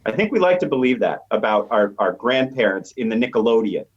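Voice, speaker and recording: A man's voice: mid-range voice, animated, brisk, husky. A middle-aged or older American man, a professor guest explaining things conversationally and emphatically in an interview. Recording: dull, slightly lo-fi voice track.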